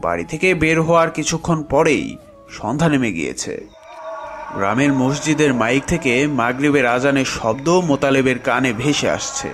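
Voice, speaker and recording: Animated male horror story narrator. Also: mid-range voice.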